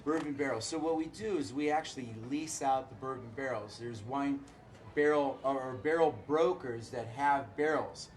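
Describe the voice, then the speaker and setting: A hoarse middle-aged man, a winemaker, talking casually and haltingly with many filler uhs. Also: medium pitch, slight background noise. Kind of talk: casual chat.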